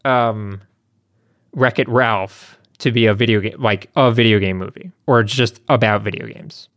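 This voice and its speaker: A man's voice: lowish voice, fairly even intonation, normal speed, fairly bright tone, no echo, clean studio sound. A man co-hosting a podcast, chatting casually as he poses questions and steers the discussion. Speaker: podcast co-host.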